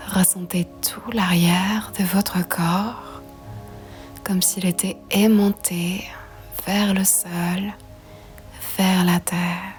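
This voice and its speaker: Female meditation guide, hushed and breathy, very even low-set delivery, gentle and soothing. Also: drawn-out words, soft background music. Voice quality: soft, breathy, half-whispered. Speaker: yoga nidra guide.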